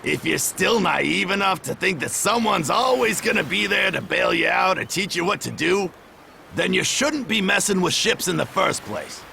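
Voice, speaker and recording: Blunt hearty shipwright boss for a game, bright forceful gravelly voice, super animated. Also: male voice, sits high, normal speed, a little hiss or hum, heavily acted. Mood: stern, blunt. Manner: blunt, hearty, rough colloquial talk. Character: gruff, boisterous veteran shipwright mentor, tough but approving. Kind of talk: video game cutscene voice acting.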